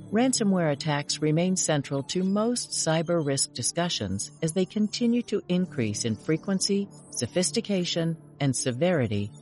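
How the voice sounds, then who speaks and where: A woman reporter reading a scripted news bulletin in a crisp newsreader delivery. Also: low-pitched, a little mellow.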